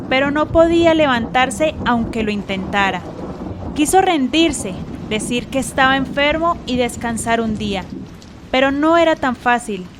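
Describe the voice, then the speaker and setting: A woman giving scripted narration for a radio drama, with rain-like hiss. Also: sits high.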